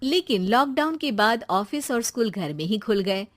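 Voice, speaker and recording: Lively high-voiced woman storyteller. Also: moderate pace. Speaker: narrator. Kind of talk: scripted story narration.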